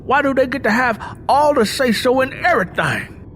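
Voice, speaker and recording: A man's voice: very high-pitched, animated, normal speed, fairly bright tone, a little hiss or hum, voice pushed up. Man acting out a bitter, hot-tempered farmer. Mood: angry, bitter. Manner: combative, folksy rural speech. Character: proud, bitter, hot-tempered young farmer who drinks. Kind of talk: dramatised audiobook dialogue.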